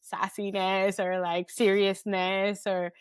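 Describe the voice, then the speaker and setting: A woman's voice: mid-range voice, level tone, slow pace, smooth, no echo, clean studio sound. A woman host whose first language is Spanish, talking reflectively and chattily in English in a casual conversation. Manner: reflective and chatty, many "like" fillers. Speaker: podcast host.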